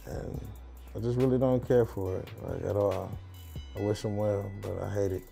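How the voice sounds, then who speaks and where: A man's voice: fairly low pitch, moderate pace, vocal fry, warm tone. A young man, a producer and engineer giving blunt opinions in a documentary interview in a recording studio. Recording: music bed underneath.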